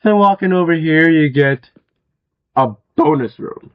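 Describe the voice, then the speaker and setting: Hoarse young man giving a game tour. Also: on the high side, a little slow, phone-quality sound. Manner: chatty, with frequent fillers and throat clearing. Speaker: gaming video host. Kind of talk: casual game walkthrough commentary.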